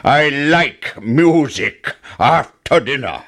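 Man doing a huge, slow, roaring giant. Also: medium pitch, animated, fairly bright tone, pitched above the performer's own voice. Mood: commanding. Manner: roaring, drawn-out. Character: huge, commanding male fairy-tale giant.

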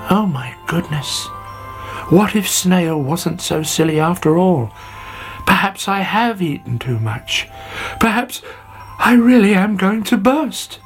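A man voicing a greedy, cheeky young male caterpillar in a storybook reading, high and whiny. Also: animated, slow, soft background music, exaggerated voice. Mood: frightened.

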